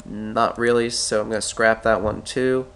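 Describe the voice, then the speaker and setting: A man's voice: lowish voice, steady tone, moderate pace, a bit bright, smooth. A man with a stuffy head cold walks through a stock chart tutorial, thinking aloud and often correcting himself as he draws. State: stuffy with a cold, clearing his throat. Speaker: stock market analyst. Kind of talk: screen-recorded chart tutorial.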